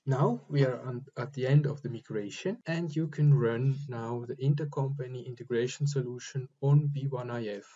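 A man giving step-by-step instructions for a software screen recording, speaking in short, halting phrases. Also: mid-range voice, fairly even intonation, slightly rough, highs cut off. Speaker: software tutorial presenter. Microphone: voice-over recorded over screen capture.

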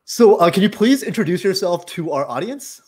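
A man's voice: high-pitched, expressive, moderate pace, darkish tone, breathy edge. A man in his thirties hosting a casual livestream interview from a room at home. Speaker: host. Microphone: video call from a desk.

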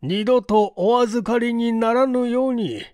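A woman voicing a composed, courteous male detective chief in an audiobook, explaining in a deliberate, emphatic and formal way. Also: on the high side, warm tone, dry recording, studio quality, voice pushed up, close to an everyday voice. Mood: grave, accusing. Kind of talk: storybook dialogue in audiobook reading.

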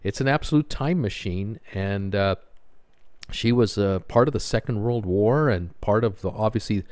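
An older man with a low voice talks through photos of old cruise ships in an easygoing vlog style. He rambles with plenty of fillers and sounds wistful. The recording is close and dry. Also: normal speed, darkish tone, no room sound. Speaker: cruise vlogger. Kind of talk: casual narration over photos.

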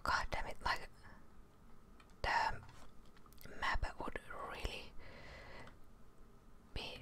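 A woman's voice: extremely slow, sharp tone. A young woman whispering breathily and musing aloud to viewers as she streams a game in ASMR style, close to the microphone. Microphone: close studio microphone on desk.

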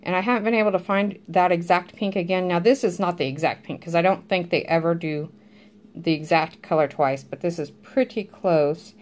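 A woman's voice: fairly low pitch, normal speed. A middle-aged American woman chatting casually and informally, like a home vlogger talking viewers through her shopping. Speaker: vlogger showing a grocery haul. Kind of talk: casual chat to camera. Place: indoors at home, at a table. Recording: clear background noise, crinkling packaging. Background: crinkling bags and handling clicks.

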